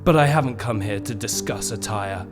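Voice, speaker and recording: A man acts out Death as a gaunt, toothless gentleman in a gothic comic radio play. His voice sits low, and he talks with formal, deliberate courtesy and an eerie calm. Music plays clearly behind him. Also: close to an everyday voice. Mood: calm, matter-of-fact. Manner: slow, deliberate, formal storytelling. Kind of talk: gothic comic audio drama acting.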